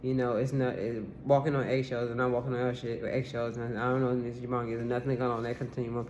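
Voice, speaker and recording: A woman's voice: extremely low pitch, little pitch movement, fast talker, a little mellow, a little hiss or hum, rough recording. Rambling, aggrieved woman in her forties. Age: 40s. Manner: rambling and aggrieved, with many restarts and fillers; swears casually and repeats herself insistently. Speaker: vlogger. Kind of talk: rambling solo vlog monologue.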